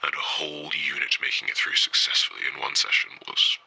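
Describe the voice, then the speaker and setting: An actor playing a guarded, tired former super-soldier recruit who speaks in terse, clipped phrases. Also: male voice, rumbling low, completely flat delivery, fairly quick, pushed and bright, slightly rough, heavily acted, poor audio. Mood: weary.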